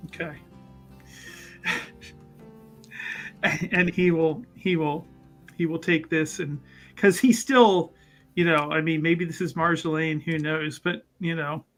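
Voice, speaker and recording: A man with a slightly high voice narrates his tabletop character's actions. He speaks slowly and hesitantly, doubling back on words and often chuckling, over background music. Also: a bit bright. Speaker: tabletop roleplaying player. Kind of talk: casual improvised narration of own character's actions. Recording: music under voice.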